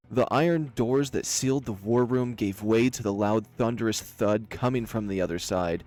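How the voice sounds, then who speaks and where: A man's voice: fairly low pitch, a little mellow. Man reading a visual novel aloud. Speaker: game streamer, narrator.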